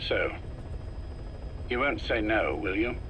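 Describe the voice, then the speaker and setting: Casting: older, sickly spy chief for a game. Coolly polite yet threatening, deliberate, bright forceful tone, faintly noisy recording. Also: male voice, on the low side, lively intonation, exaggerated voice. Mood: weary. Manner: measured, deliberate, politely menacing. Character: ailing, calculating older male intelligence chief, calm and controlling. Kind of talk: scripted game dialogue, dramatic acting.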